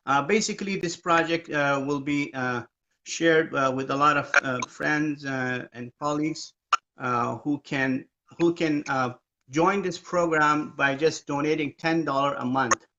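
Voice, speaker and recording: Hesitant man with a bright, dull-sounding voice. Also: a little high. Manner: hesitant, many "uh" fillers, slow. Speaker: aid agency founder, live stream host. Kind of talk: casual live stream chat. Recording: dull lo-fi live stream audio.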